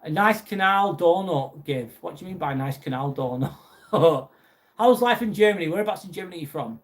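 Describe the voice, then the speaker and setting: A man's voice: fairly high pitch, animated, brisk. Lancashire man chatting on a live stream. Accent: Lancashire English. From northwest England. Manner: chatty, reads out viewer messages and answers them. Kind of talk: casual live chat reading comments.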